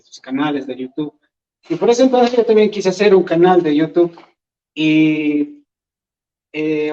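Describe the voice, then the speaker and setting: A man from Peru, a Quechua speaker, giving an earnest, halting talk as an invited speaker at an event. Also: a little high, dark tone. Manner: earnest, halting, thinks aloud. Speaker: invited speaker, language educator. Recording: dull lo-fi event recording.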